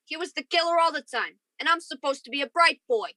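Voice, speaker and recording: A woman's voice: high voice, animated, quick pace, sharp tone, dry recording. A woman playing a hot-tempered, protective lover in a radio crime drama. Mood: bitter. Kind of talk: old-time radio crime drama acting.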